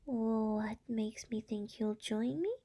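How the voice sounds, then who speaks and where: A woman voicing a seductive, tender demon lord in an intimate ASMR roleplay, speaking softly and coaxingly with a breathy, often whispering voice. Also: fairly high pitch. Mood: coaxing, solemn. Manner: slow, soft, coaxing. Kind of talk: scripted ASMR roleplay, intimate address to listener.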